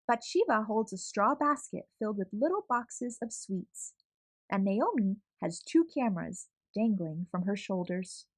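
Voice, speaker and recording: A woman reading a children's picture book aloud with an expressive storytelling manner. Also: medium pitch, measured pace.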